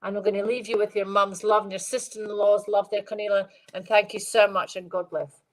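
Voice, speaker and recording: A middle-aged woman medium giving a live-stream spirit reading. She sounds stuffed up and speaks in a gentle, rambling way, repeating phrases and addressing viewers by name. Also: medium pitch, level tone, a little fast, poor audio. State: congested, stuffed-up nose.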